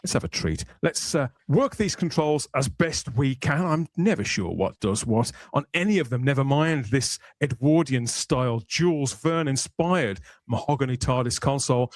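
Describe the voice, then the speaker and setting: A man's voice: medium pitch, animated, normal speed, hardly any pauses, dry recording. Male podcast host with a dry, close sound. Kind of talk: conversational hosting with scripted, polished narration.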